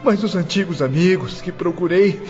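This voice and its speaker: A man's voice: high-pitched, normal speed, darkish tone, highs cut off, soft background music, close to an everyday voice. A man playing a bitter young orphaned prisoner in a melodramatic radio play, lamenting tearfully. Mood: sorrowful lament. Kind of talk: melodramatic radio drama monologue.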